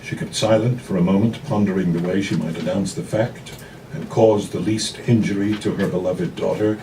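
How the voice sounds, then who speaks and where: A man reading a story aloud as scripted narration at a live event, in a measured, dramatic way. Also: sits low, steady tone, mellow. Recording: distant mic, noisy live room.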